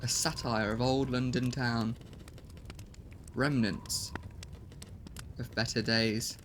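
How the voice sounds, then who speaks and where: A man narrating a dramatic science-fiction story, measured and building to loud, intense passages. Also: medium pitch, steady tone, a little hiss or hum.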